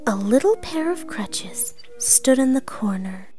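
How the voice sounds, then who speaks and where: A woman playing an excitable storyteller, narrating a storybook read-along with theatrical drama. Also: a little high, unhurried, soft background music, pitched below the performer's own voice. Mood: hushed, grave. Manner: theatrical, hushed then exclaiming.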